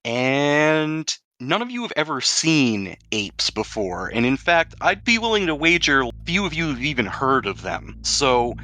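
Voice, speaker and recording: A man's voice: on the high side, big pitch swings, measured pace, hardly any pauses, pushed and bright. A man acting as game master in a tabletop role-playing game, explaining rules and describing scenes.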